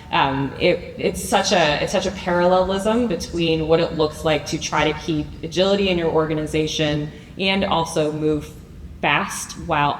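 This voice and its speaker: Low-voiced woman moderating a panel. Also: roomy sound, recorded from a distance, a little hiss or hum, poor audio. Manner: chatty, upbeat, with many fillers. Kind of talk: conference panel talk. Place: large conference room.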